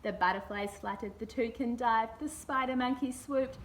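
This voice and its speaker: A woman's voice: fairly high pitch, moderate pace, a little hiss or hum. A woman reading a children's picture book aloud expressively.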